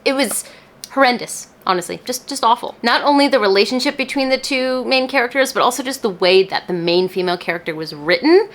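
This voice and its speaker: A woman talking casually to camera as a book reviewer, in an animated, emphatic, chatty way. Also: a little high, fairly quick. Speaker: book reviewer and video host.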